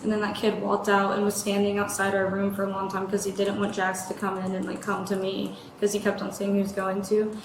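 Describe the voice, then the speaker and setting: A woman's voice: medium pitch, monotone, fairly quick, hardly any pauses. A young American woman giving a casual spoken account as a student reporting to police. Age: young adult. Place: indoor room, speaking to officers. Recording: roomy, distant, noisy bodycam audio.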